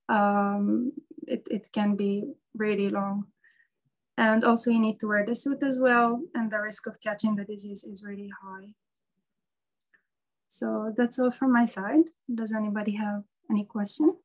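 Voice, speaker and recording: A woman's voice: mid-range voice, poor audio. A woman medical student, not a native English speaker, giving an informal talk over a video call and often repeating words as she restarts.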